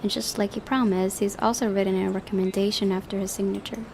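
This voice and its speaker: Female gaming host, creaky voice, medium pitch, story narration with casual asides, faint background noise. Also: fairly even intonation, quick pace, a little mellow. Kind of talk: visual novel narration with casual commentary.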